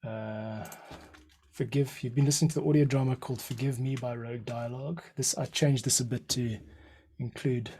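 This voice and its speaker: Laid-back podcast host, mid pitch. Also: male voice, fairly even intonation, moderate pace, dark tone, slight background noise. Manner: laid-back, slangy, says dude and cool. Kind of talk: casual chat.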